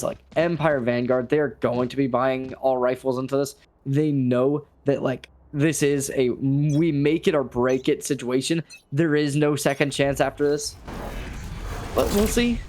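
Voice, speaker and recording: A man's voice: medium pitch, a little mellow. A man giving live analysis as an esports caster, conversational and opinionated, with emphatic phrasing.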